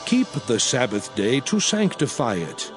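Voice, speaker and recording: A man's voice: mid-range voice, wildly swooping pitch, a little slow, over music. An actor playing a solemn, impassioned prophet exhorting his people in grave, emphatic scriptural oratory. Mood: solemn commanding. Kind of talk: dramatized scripture oratory in archaic English.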